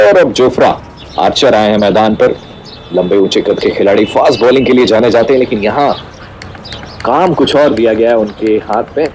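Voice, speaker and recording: A male cricket commentator talks quickly in a fairly low voice. He delivers live sports commentary coming out of a radio, with faint noise around it. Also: darkish tone. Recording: radio broadcast played aloud, faint noise.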